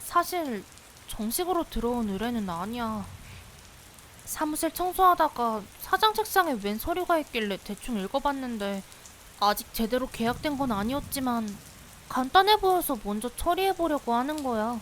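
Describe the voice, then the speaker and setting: A woman playing a persistent young female investigator in a visual novel, playful and sulky, with a wheedling, pouty, expressive delivery. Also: a little high, moderate pace. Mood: insistent, evasive. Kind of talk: visual novel voice acting, anime-like drama. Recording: hiss with steady rain behind.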